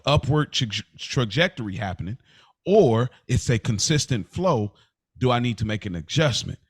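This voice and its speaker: A man co-hosting a podcast, talking casually and reflectively in halting, deliberate phrases with repeated restarts. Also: medium pitch, no echo, studio quality. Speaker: podcast co-host.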